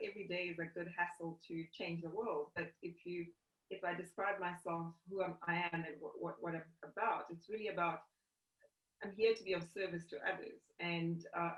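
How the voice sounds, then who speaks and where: A woman's voice: fairly low pitch, a bit bright. A middle-aged woman from South Africa, a company CEO, talking earnestly in a video call interview from a home room, often restarting her phrases. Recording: roomy, distant, dull video call audio.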